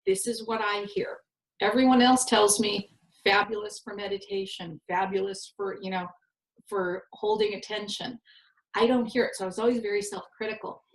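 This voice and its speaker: A woman's voice: medium pitch, normal speed. Nasal californian woman host, joky self-deprecating stories, echoey room. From Southern California. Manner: self-deprecating, animated storytelling. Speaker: network host and coach. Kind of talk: casual conversation. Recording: roomy echo.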